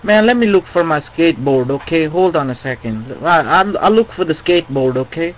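A man's voice: on the high side, animated, a little fast. A man making a rambling prank phone call in a hesitant, repetitive, slangy way. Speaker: prank caller. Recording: muffled, noisy phone call recording.

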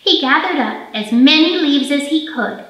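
A woman hosts storytime for small children in a bright, fairly high voice. She phrases things simply and expressively, as a children's librarian would. The room adds noticeable echo around her. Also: animated, normal speed. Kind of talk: storytime teaching, singing and picture-book reading for young children. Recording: roomy echo, faint music.

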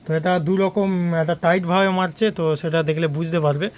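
A man's voice: sits high, fairly even intonation, quick pace. A man with a West Bengal Bengali accent giving casual, repetitive sales patter to viewers in an unboxing vlog. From West Bengal, India. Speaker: audio equipment seller and channel host.

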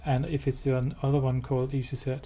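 A man giving a hands-on product demo indoors, explaining step by step in a halting, matter-of-fact way. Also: mid-range voice, little pitch movement, mellow. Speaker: product demonstrator. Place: indoors, showroom with wall-mounted devices. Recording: clear background noise.

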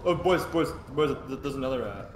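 A man's voice: mid-range voice, lively intonation, fairly quick, echoey room, a little hiss or hum. A young man playing a horror game with friends, swearing and bossily directing the others. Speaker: co-op player. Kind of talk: casual co-op gameplay chat.

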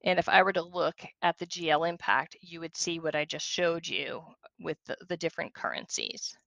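Bright, slightly creaky woman giving software tutorial. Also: lowish voice, normal speed, no echo. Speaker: software demonstrator. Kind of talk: screen-share software tutorial, walking through steps conversationally.

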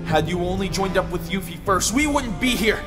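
A man's voice: high-pitched, expressive, brisk. A man playing a righteous, wounded young soldier in an anime dub, speaking with accusing intensity. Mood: enraged. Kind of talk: anime fan dub acting. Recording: long reverb over loud music.

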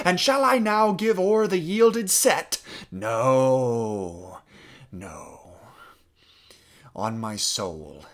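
A man playing a proud, demanding highborn young French prince in a declamatory, insistent Shakespearean stage monologue. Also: fairly bright tone.

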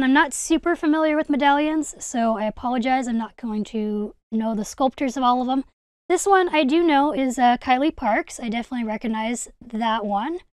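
A woman's voice: high voice, a little mellow, clean tone, no echo. A young adult woman, hosting a casual hobby haul vlog in an enthusiastic, gushing way. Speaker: video host, hobby collector.

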